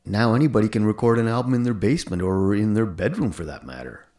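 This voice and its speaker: A man's voice: on the low side, expressive, fast talker, a little mellow. An older man hosting a conversational interview. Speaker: podcast host interviewer.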